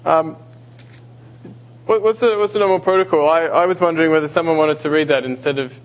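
A man's voice: on the high side, fairly quick, rough recording. Hesitant male preacher in a church. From Australia. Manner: hesitant, full of fillers and restarts. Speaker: visiting preacher. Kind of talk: sermon. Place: church sanctuary. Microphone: handheld or stand mic.

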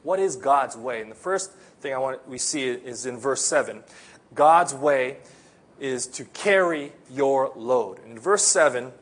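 A man from the Philippines who grew up in America, with an American accent, preaching an earnest sermon as a pastor, slowing down to stress key phrases. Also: mid-range voice, animated.

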